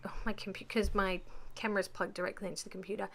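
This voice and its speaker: A woman with a croaky voice chatting casually through a voice-over about her crafting, rambling with lots of ums. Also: medium pitch, flat delivery, fast talker, a bit bright, a little hiss or hum. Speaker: scrapbooking vlogger.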